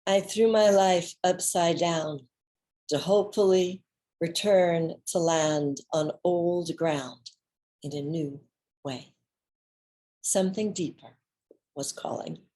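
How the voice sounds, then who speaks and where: An American woman giving a dramatic, autobiographical one-woman reading, theatrical and deliberate with dramatic pauses. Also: lowish voice, fairly even intonation, mellow. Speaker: solo performer, storyteller.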